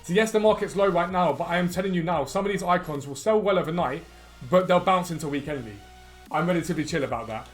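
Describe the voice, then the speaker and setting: Brief: man, hoarse from losing his voice, fairly high-pitched and rapid, casual tutorial delivery over faint music. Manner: quick, casual, reads out prices in runs. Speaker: gaming video creator. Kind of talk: screen-recorded gaming tutorial.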